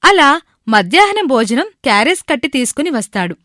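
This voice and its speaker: A woman's voice: sits high, sing-song, brisk, fairly bright tone, no echo, studio quality. A woman narrating a scripted moral story.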